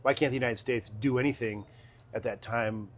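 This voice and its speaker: A middle-aged man, a city council member, answering interview questions in a measured, explanatory way with pauses. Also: medium pitch, steady tone.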